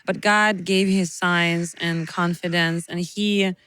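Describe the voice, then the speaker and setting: A Ukrainian woman in her twenties, a youth pastor, speaking earnest, halting English with many fillers. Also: on the low side, steady tone, a bit bright, smooth, no echo. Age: 20s. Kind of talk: interview guest, personal testimony.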